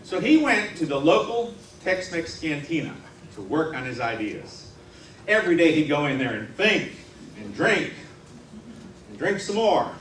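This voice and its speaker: A man telling a comic tall tale on stage in a theatrical, mock-serious way with dramatic pauses. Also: on the high side, very animated, fairly bright tone. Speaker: humorous contest speaker. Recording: roomy hall, distant mic, faint noise.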